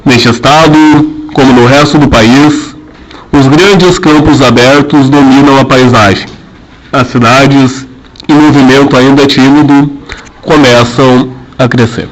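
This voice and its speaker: A man narrating a radio drama in a formal, measured storytelling manner. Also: medium pitch, fairly even intonation, low-quality recording.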